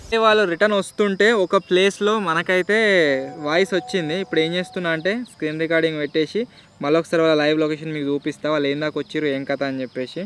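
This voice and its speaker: A man's voice: on the high side, steady tone, rapid-fire, a bit bright, a little hiss or hum. A young man with a Telangana accent presenting a casual vlog in an informal, slangy way. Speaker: video host.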